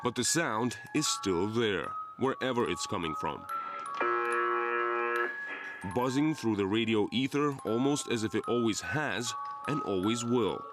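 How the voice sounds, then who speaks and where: A man's voice: mid-range voice, animated, moderate pace. A man narrating a scripted television news report as the reporter. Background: music bed.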